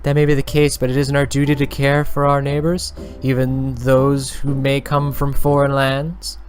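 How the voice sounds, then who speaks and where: A man's voice: medium pitch, monotone, normal speed, soft background music, lightly acted. A man voicing a kind, naive young nun who speaks earnestly and pleadingly, in a visual novel read-aloud.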